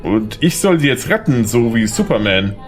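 A man's voice: mid-range voice, big pitch swings, moderate pace, faint music behind, natural voice. An actor playing a confused, sarcastic man on the run in a radio play, wry and exasperated. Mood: wry, skeptical.

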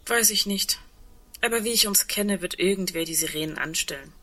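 A woman's voice: mid-range voice, fairly quick, fairly bright tone, natural voice. An actress playing a candid, sceptical woman clinging to a little hope in a naturalistic radio play, with a plain, questioning delivery. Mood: pensive, sceptical.

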